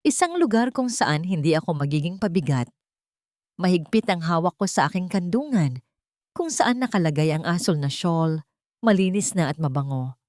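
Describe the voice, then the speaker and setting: A woman's voice: on the low side, expressive, normal speed, darkish tone, no echo, studio quality. A woman narrating a sentimental story in the first person, as a scripted dramatic audio drama.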